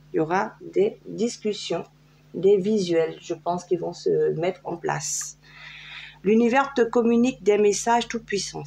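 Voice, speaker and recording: A woman's voice: medium pitch, creaky, darkish tone, a little hiss or hum. A French woman giving a casual tarot reading, chatty and hesitant, with many fillers. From France. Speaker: tarot card reader. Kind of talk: casual tarot guidance video.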